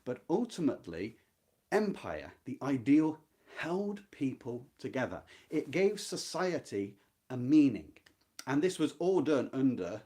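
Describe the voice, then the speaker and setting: A man with a mid-pitched voice gives a literary talk. His intonation moves widely up and down as he stresses key phrases. He addresses his viewers deliberately, like a host guiding them through a book. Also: big pitch swings, dark tone. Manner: emphatic, deliberate, repeats key points. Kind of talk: literary lecture, explaining a novel to viewers.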